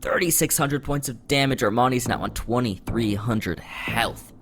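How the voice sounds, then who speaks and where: A man giving live play-by-play commentary on a competitive game, voice jumping up with excitement at big moments. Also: medium pitch, moderate pace, background noise. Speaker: esports commentator. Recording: music under the voice.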